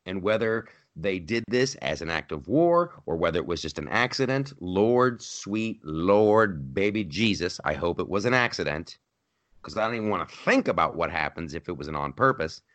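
A man co-hosting a podcast, in animated political chat, often repeating himself and mocking others in put-on voices. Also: low voice, moderate pace. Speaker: podcast co-host.

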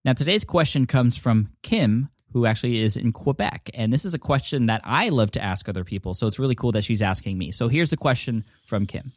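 A man's voice: mid-range voice, animated, fairly quick, hardly any pauses, no room sound, clean studio sound. A man hosting his own podcast, talking in a friendly, casual way and often restarting his sentences as he thinks aloud. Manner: friendly, rambling, frequent restarts. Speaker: podcast host. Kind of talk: casual solo podcast talk.

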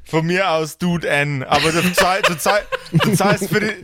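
A man's voice: sits high, unhurried, sharp tone, a little hiss or hum. A man talking casually as the game master of a tabletop role-playing group.

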